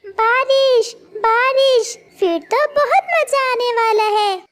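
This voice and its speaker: A woman's voice: very high-pitched, slow pace, a little hiss or hum. A woman voicing an eager little boy chick in a children's cartoon, childlike in manner. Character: excited little boy chick talking to mother. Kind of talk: children's cartoon dubbing in Hindi.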